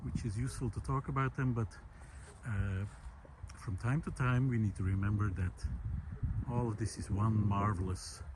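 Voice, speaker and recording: A man talking reflectively to the camera as he walks outdoors on a mountain forest path, pausing often with fillers and restarts. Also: fairly low pitch, steady tone, noisy background. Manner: thoughtful, hesitant, many fillers and restarts. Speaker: vlog host. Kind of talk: reflective walking monologue.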